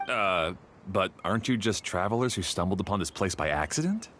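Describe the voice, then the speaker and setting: Ferdinand, low-pitched, slangy rail caretaker. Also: male voice, animated, brisk, a bit bright, slight background noise. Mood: casual. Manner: casual, offhand, drawling slang. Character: laid-back, slangy male caretaker of rail zone.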